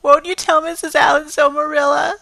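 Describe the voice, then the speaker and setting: A woman doing a high, dramatic young girl. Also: little pitch movement, brisk, vocal fry, pushed and bright, no room sound, heavily acted, low-quality recording. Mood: pleading. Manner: breathless, pleading, melodramatic. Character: excitable, dramatic orphan girl.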